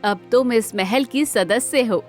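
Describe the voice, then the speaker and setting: A woman's voice: on the high side, measured pace, soft background music, close to an everyday voice. A woman voicing a kind, composed queen in a cartoon folk tale, gracious and respectful. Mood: kind concern. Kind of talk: dramatised cartoon storybook dialogue.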